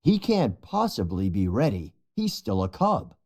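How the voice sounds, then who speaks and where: A storybook narrator voicing an authoritative adult lion king and father in a serious, measured way. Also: sits low, wildly swooping pitch, a little mellow, pitched below the performer's own voice, full character voice. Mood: doubtful.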